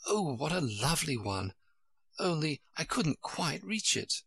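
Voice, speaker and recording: A man's voice: a little high, big pitch swings, unhurried, creaky, fairly bright tone, husky, no echo, voice pushed up, heavily acted. A man voicing a polite, earnest and eager little girl from a storybook, easily puzzled. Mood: wistful. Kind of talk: storybook character voice.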